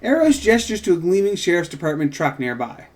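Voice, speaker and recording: A man's voice: sits high, big pitch swings, fairly quick. A man co-hosting an informal script read, switching between casual chat and brief narration. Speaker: writer and co-host of table read.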